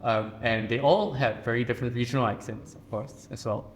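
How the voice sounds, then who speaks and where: Singaporean man, mid-pitched, measured and earnest, panel storytelling. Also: fairly even intonation. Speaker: poet on a panel. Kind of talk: personal reflective talk. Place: reception room at a residence.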